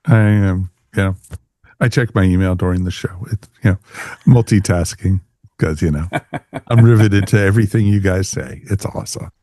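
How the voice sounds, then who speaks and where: A man's voice: low-pitched, normal speed, dark tone, dry recording. A man hosting a podcast in a casual, chatty, informal way. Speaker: podcast host.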